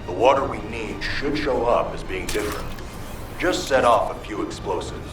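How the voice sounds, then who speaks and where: A man's voice: on the low side, moderate pace, background noise, lightly acted. A man playing a cheerful, talkative senior construction worker who guides a video game mission. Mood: businesslike. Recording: roomy echo, game music underneath.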